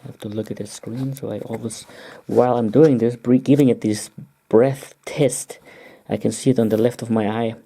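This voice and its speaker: A very dark, mellow voice with a husky, creaky grain gives a casual running commentary. The delivery is halting and muttered, broken by frequent throat-clearing. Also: medium pitch, steady tone. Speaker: video maker demonstrating close-up specimens.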